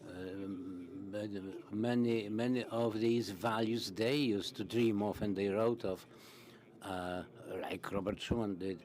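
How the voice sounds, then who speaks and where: A man interpreting a parliamentary conference into English, halting, with many fillers and restarts. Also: on the low side, steady tone, darkish tone.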